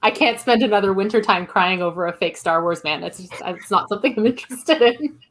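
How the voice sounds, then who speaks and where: A woman's voice: mid-range voice, brisk, fairly bright tone. A woman guesting on a podcast, chatting casually about fandom in an emphatic, opinionated way. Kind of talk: casual fan discussion.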